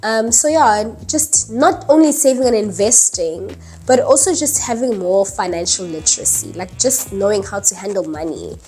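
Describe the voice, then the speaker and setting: A young woman in her early twenties chats straight to camera in a relaxed vlog style. She fills her sentences with "um" and "like" and laughs lightly now and then. Faint music plays quietly behind her voice. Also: mid-range voice, moderate pace, few pauses. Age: early 20s. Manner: chatty, many fillers like "um" and "like", laughs lightly. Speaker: vlogger. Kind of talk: casual talking-head vlog. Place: indoors at night, dim lighting.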